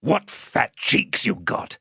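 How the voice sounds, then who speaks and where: A man voicing a rough, threatening English convict in an audiobook, growling out commands in rustic speech. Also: takes it slowly, sharp tone, no room sound, studio quality. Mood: hungry, threatening. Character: menacing rough male convict, ravenous, threatening a child. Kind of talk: dramatised audiobook reading.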